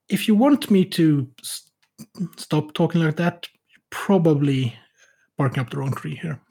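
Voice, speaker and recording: A man co-hosting a casual hobby podcast, speaking with a level, flat delivery. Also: on the high side. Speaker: podcast co-host.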